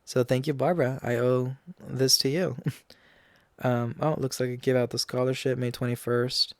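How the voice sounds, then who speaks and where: A man's voice: mid-range voice, level tone, moderate pace, a little mellow, no room sound, studio quality. A young man hosting his own podcast, looking back on past episodes in a chatty way with lots of ums. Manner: chatty, frequent ums and you-knows. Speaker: podcast host. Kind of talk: casual reflective chat.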